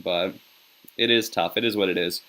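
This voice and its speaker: A man's voice: sits low, fairly even intonation, normal speed, fairly bright tone, rough recording. Upbeat young man hosting a stream. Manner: upbeat and chatty, says "yo" a lot, hypes up viewers. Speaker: live-stream host reacting to a hockey game. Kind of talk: casual live-stream chat, reading viewer comments.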